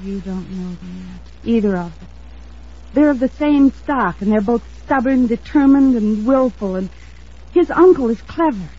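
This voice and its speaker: Fearful, insistent young female lead for old-time radio: fairly high but husky, dramatic emphasis, lo-fi sound. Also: lively intonation, moderate pace, mellow. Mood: bitter, worried. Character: anxious, insistent young woman fearing for her fiancé. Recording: dull lo-fi vintage radio recording.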